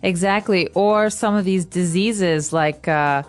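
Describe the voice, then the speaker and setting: A woman's voice: lowish voice, slow. Lady teaching english words on a podcast, easy chatty explaining, faint music behind. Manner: explains words patiently with examples. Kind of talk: casual teaching chat.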